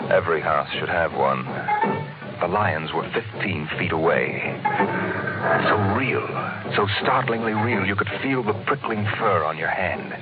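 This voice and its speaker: A grave American storyteller played by a male actor narrates an old science fiction radio play. His voice is very deep and solemn, painting each image with care. The sound is narrow and aged, with prominent music behind him. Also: natural voice. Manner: measured, solemn, vivid storytelling. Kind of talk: 1950s radio drama narration. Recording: muffled vintage radio recording, loud music.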